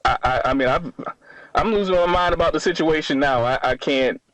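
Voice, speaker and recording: A man in his thirties calling a radio show, answering haltingly and defensively with stammered restarts. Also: fairly high pitch, a bit bright, no echo, poor audio. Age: 30s. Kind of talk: spontaneous phone-in conversation.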